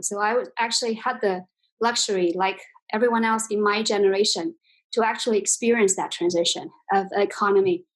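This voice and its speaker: A woman's voice: medium pitch, rough recording. Chinese-accented woman in her forties. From China. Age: 40s. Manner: thoughtful, many fillers and restarts. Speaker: guest, exchange CEO. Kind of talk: interview conversation.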